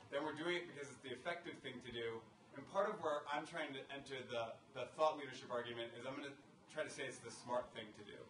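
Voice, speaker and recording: A man in his thirties talks through panel remarks, halting and full of fillers. His voice sounds roomy and a bit distant, with a faint hiss underneath. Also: mid-range voice, fairly bright tone. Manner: halting, many fillers and restarts, gestures while talking. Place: college conference room. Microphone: table microphone. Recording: echoey room, picked up from a distance.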